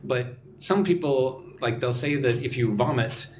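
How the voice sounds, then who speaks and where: A man's voice: mid-range voice, measured pace, darkish tone, slight background noise. A young man from Texas hosting a live English lesson, reading out viewers' comments and answering them in a chatty, teasing way. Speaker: English teacher and livestream host. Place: home room in Vietnam.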